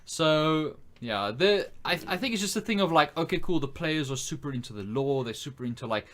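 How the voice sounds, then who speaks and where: A man's voice: on the high side, animated, brisk, fairly bright tone. A man co-hosting a podcast in casual conversation, thinking aloud and hedging as he builds his point. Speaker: podcast co-host. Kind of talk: casual chat about films and games.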